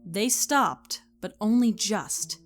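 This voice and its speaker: A woman's voice: mid-range voice, lively intonation, takes it slowly, soft background music. A woman reading scripted story narration in a dramatic, storytelling style.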